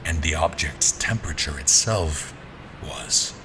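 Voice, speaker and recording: A man playing a grim, commanding general who narrates his own dark fantasy tale in a formal, brooding, deliberate way. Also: rumbling low, background noise. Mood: brooding, tense. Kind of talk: scripted audio drama, first-person narration and dialogue.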